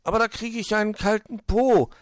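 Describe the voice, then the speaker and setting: A man's voice: high-pitched, steady tone, a little fast, dry recording, clean studio sound, pitched above the performer's own voice, natural voice. A man voicing a small squirrel in a children's story, hopeful and a little plaintive. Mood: worried.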